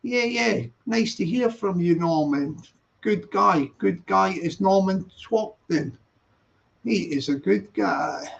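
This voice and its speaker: A man's voice: high voice, takes it slowly, vocal fry. A man talking casually on a live stream, rambling and repeating himself as he answers viewers' comments. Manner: rambling, repetitive, reads viewer comments aloud. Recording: dull lo-fi stream audio.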